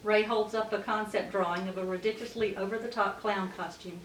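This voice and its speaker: A woman's voice: mid-range voice, steady tone, a little fast. A woman reading out stage directions as the narrator of a scripted table read. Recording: echoey room, distant mic, faint noise.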